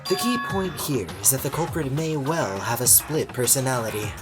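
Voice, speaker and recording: A haughty elite student a man acts him out speaks with cold, curt condescension in a game dub. His mid-pitched delivery bends up and down as he dismisses people. Music plays clearly behind him. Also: expressive, moderate pace, close to an everyday voice. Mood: cool, businesslike. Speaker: voice actor in a fan dub.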